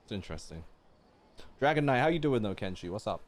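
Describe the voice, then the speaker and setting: A man's voice: lowish voice, very animated, fast talker, a little hiss or hum. A man giving casual live reactions as he streams a game, chatty and questioning things aloud.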